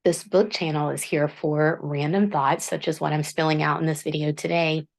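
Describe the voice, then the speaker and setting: A woman author hosting her book channel, speaking in a low voice in an earnest, self-deprecating, measured monologue. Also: fairly even intonation, vocal fry. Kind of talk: reflective scripted monologue.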